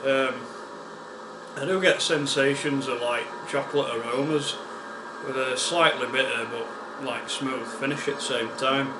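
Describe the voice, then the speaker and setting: A man with a West Yorkshire accent hosting a casual beer-tasting vlog, chatty and unhurried, with plenty of ums and uhs. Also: mid-range voice, fairly even intonation. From West Yorkshire, England. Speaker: beer reviewer, channel host. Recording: echoey room with air-conditioning hum.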